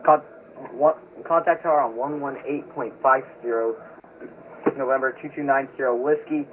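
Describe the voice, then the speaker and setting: A man's voice: medium pitch, fairly even intonation, normal speed. Man on thin, faintly noisy pilot radio. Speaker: private pilot in a flight simulation. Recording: phone-like radio with faint noise.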